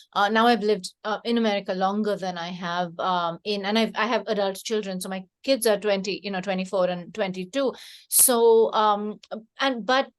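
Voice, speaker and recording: A middle-aged woman author from India, speaking with an Indian accent, in a thoughtful, warm casual conversation at a library event. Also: medium pitch. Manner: thoughtful, warm, many fillers and restarts.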